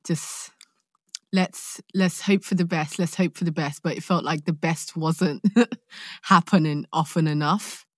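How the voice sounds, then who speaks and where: Woman, London accent, slightly low pitch, dry close recording, casual chat, expressive, reflective and playful. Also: a little slow, no room sound. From London, England, born in Nigeria. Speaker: podcast guest, actor.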